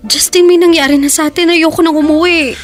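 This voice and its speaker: A teenage girl in a radio drama. Her voice sits extremely high, insistent and pleading, the sound of a clingy young sweetheart. Music plays under her. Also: fairly even intonation, quick pace. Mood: tearful pleading.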